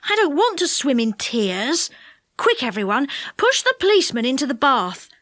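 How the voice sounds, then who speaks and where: A woman's voice: high voice, very animated, a bit bright, no room sound, clean studio sound. A woman voicing an eager young boy in a storybook who gives the orders. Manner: brisk, commanding.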